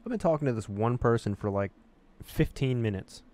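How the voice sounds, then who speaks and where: A man's voice: medium pitch, animated, brisk, mellow. A man hosting a let's-play, reading story text expressively and dropping in casual asides. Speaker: gaming video host.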